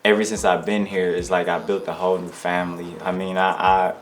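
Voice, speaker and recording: A young man from Detroit, a rapper being interviewed, talking in a relaxed, rambling way with slang and restarts. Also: low-pitched, a little fast. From Detroit, USA. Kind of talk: casual interview.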